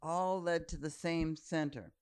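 Older woman in her seventies, smooth resonant voice, dry close sound, calm storytelling pace. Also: low-pitched, unhurried, dry recording. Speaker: guest. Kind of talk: relaxed storytelling conversation.

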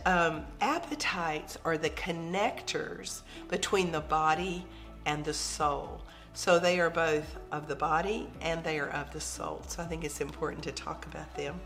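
A hoarse woman with a lowish voice gives a calm, reflective devotional teaching. She speaks in a gentle, measured way. Soft music sits faintly behind her.

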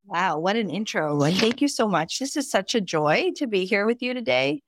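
A woman's voice: medium pitch, expressive, moderate pace, no room sound, clean studio sound. A woman in her fifties from the Dominican Republic, whose first language is Spanish, chatting as a podcast guest and telling vivid family stories with frequent "right?" asides. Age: 50s. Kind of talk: casual conversation, personal storytelling.

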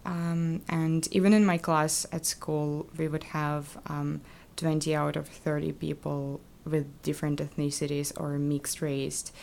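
A young woman from Kazakhstan, a Russian speaker, talking thoughtfully as a podcast guest with many pauses. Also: low-pitched, level tone, vocal fry, a little hiss or hum. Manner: soft-spoken, thoughtful, frequent um. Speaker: guest, former international student. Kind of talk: podcast interview.

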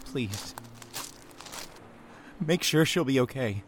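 A man's voice: medium pitch, lively intonation, slow, slight background noise. A man playing an earnest, tender young man in love, with a hesitant, heartfelt delivery in an audio drama. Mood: humbled, sincere.

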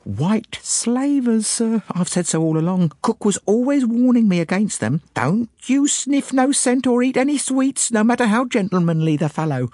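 An excitable young English maid for an audiobook, pitched very high. The voice swoops up and down as this working-class gossip chatters breathlessly and colloquially, in a dry recording. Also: male voice, unhurried, husky, no echo, heavily acted. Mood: excited, alarmed. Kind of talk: audiobook character voice.

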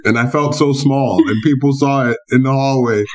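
A man's voice: mid-range voice, fairly even intonation, moderate pace, a crowd in the background. A man hosting a podcast, chatting casually and telling stories with playful asides and lots of fillers. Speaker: podcast host. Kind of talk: casual interview conversation.

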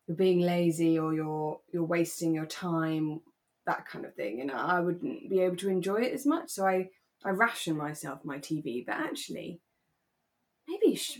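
A woman co-hosting a podcast in a relaxed, casual conversational style. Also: fairly low pitch. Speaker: podcast host; actor. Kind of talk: relaxed casual chat about a radio drama.

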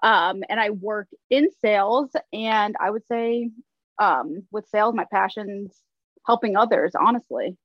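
A dry, slightly muffled recording of a woman from Ohio. She talks casually as an interview guest, in a mid-pitched voice and a conversational style. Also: unhurried, no room sound. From Ohio, United States. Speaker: podcast guest.

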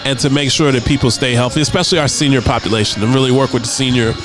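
A man's voice: medium pitch, steady tone, very fast. A man hosting a casual internet radio show, talking in a laid-back way and often repeating words as he thinks aloud. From United States. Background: music playing.

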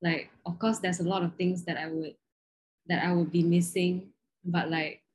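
A woman's voice: fairly low pitch, level tone, moderate pace, a little mellow, breathy edge. A young woman from Malaysia chatting casually as a podcast co-host, rambling with lots of "like". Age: young adult. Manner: rambling, heavy use of "like". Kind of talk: casual chat.

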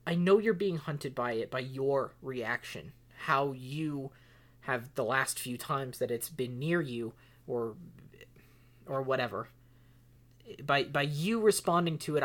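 A woman acting an exasperated, volatile character in an audio drama, pleading in halting, stammering, emphatic speech. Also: rumbling low, animated. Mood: subdued, uneasy.

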